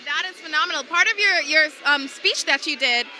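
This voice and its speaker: A woman's voice: sits high, expressive, a little fast, pushed and bright. A woman TV reporter in her thirties, bubbly presenter patter into a handheld mic at an indoor event. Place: busy indoor hall at a public event. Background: faint crowd ambience.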